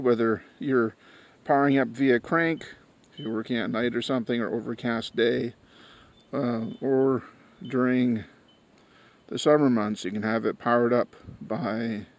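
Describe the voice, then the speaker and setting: A man gives a casual, hands-on product review in an ordinary mid-pitched voice. He talks informally, like the host of a homemade unboxing video, and can be a bit crude. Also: steady tone, unhurried, dull audio. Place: small metal trailer, then outdoors in snowy yard.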